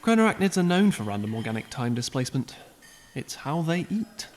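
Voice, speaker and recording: A man's voice: a little high, big pitch swings, fairly quick, a little hiss or hum, voice pushed up. A man doing a breezy, offhand alien traveller. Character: calm, playful eccentric alien traveller, man. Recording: faint music behind.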